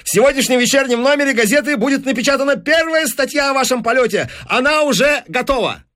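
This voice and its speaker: A man's voice: sits high, sing-song, moderate pace, a bit bright, pitched above the performer's own voice. A man voicing a pushy, calculating newspaper businessman in an audiobook, with eager salesman's patter. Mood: excited, wheedling. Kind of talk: audiobook character dialogue.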